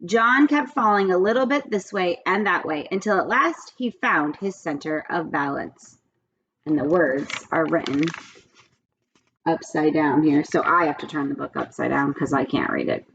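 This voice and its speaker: A woman reads a children's story aloud with very animated intonation. Her voice sits slightly low and is a bit husky, with a friendly, direct manner. The recording is slightly dull. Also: normal speed. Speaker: read-aloud teacher. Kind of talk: expressive children's story reading.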